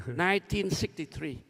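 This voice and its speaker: A seventy-year-old man from Vietnam with a Vietnamese accent, giving an informal speech with personal anecdotes in halting, repetitive phrases. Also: fairly high pitch, expressive, vocal fry, fairly bright tone. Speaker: conference speaker, new board member. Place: large conference hall. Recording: echoey large hall sound.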